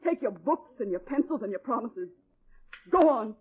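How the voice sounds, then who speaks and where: A woman's voice: fairly high pitch, expressive, moderate pace, husky. An actress playing a bitter, proud middle-aged waitress mother, scornful and reproachful, in 1940s radio drama style. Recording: thin, tinny old-time radio recording.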